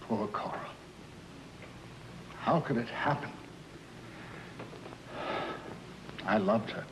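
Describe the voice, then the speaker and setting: An actor playing a jealous, possessive older husband in an old-time drama, with formal, theatrical delivery. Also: male voice, fairly low pitch, a little slow, lightly acted. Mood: somber, grieving. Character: jealous, possessive older husband, menacing toward his wife. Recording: noisy vintage TV drama soundtrack.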